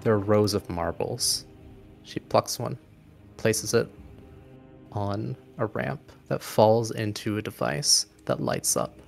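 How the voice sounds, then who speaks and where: A man with a low, gravelly voice tells a tabletop game story as its game master. He speaks very slowly and dramatically, letting phrases hang for suspense, with music underneath. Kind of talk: dramatic scripted-sounding narration of a tabletop game.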